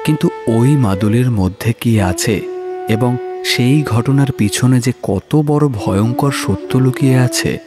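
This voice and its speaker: A man narrating a scripted horror story in a dramatic, measured, suspenseful manner. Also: medium pitch. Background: music.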